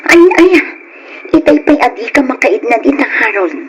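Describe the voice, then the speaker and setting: An actress playing an expressive woman in an Ilocano radio drama, on a noisy old recording. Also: high-pitched, moderate pace. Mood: tired, upset.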